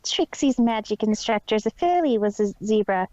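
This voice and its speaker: A man plays a young girl pony in a storybook reading, pitched very high. She is eager and a little hesitant, and the recording is dull and lo-fi. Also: expressive, normal speed, a little mellow, no echo. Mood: explaining. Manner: speaks of herself in third person, hesitant.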